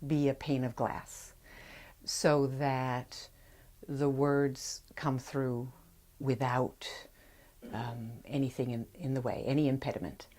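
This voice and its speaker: A woman with an exceptionally low voice speaks in an interview as a narrator. She is careful and precise, setting out short phrases with pauses between them. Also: little pitch movement, warm tone, slight background noise. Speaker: audiobook narrator. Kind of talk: documentary interview.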